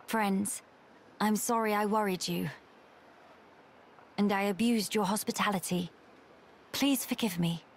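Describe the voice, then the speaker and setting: An actress playing an earnest young noblewoman in a video game, speaking in formal, measured diction. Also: medium pitch, steady tone, a little hiss or hum, lightly acted.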